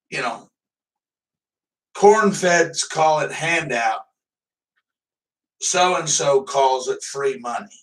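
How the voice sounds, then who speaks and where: A man's voice: on the high side, a bit bright, distant mic, poor audio. A man hosting a live stream, chatting casually and rambling loosely, swearing now and then. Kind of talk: casual livestream chat.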